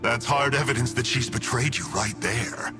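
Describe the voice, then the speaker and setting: A man's voice: mid-range voice, normal speed, natural voice. An actor voicing a smug, scheming male villain in a video game, breezy, mocking and theatrical as he toys with his listeners. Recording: music behind the voice.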